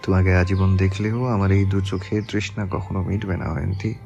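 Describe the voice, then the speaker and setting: Man acting deep-voiced, self-assured young politician. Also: steady tone, fairly quick, gravelly, darkish tone, highs cut off, soft background music. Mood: tender. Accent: Bangladeshi. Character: young male politician, tender husband, coolly menacing to rivals. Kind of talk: romantic audio story acting.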